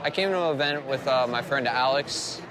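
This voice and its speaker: A man's voice: mid-range voice, moderate pace, crowd noise. A man in his late teens, a gaming fan and streamer, giving casual interview answers. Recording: noisy urban event ambience. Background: clear background noise.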